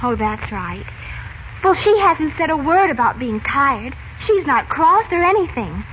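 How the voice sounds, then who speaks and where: Anxious American teen girl, high girlish voice, earnest, 1940s radio drama, noisy old recording. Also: very animated, a little slow, fairly bright tone, muffled recording, full character voice. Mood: earnest, worried. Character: American teenage girl, eager, naive, anxious daughter seeking her father.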